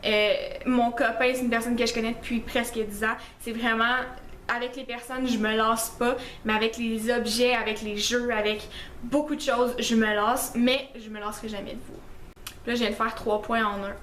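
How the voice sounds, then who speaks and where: A woman's voice: on the high side, little pitch movement, normal speed, fairly bright tone, echoey room, slight background noise. A young woman with a Québécois French accent, chatting through a list of facts about herself in a casual, self-mocking way with lots of fillers. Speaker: beauty vlogger. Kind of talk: casual list-style vlog.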